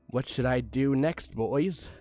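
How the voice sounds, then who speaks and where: A man's voice: medium pitch, takes it slowly, dark tone, soft background music. A man giving a comedic dramatic reading of fan fiction, theatrical, with exasperated asides. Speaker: fan fiction reader, channel host.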